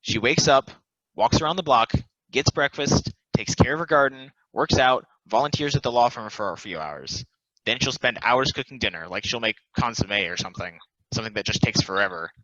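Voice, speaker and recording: A man's voice: mid-range voice, brisk, sharp tone, no echo, lightly acted. A man playing a self-deprecating, philosophical and restless immortal football player, rambling confidingly in a casual stage play reading. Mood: confiding, reflective. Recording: dry, slightly dull recording.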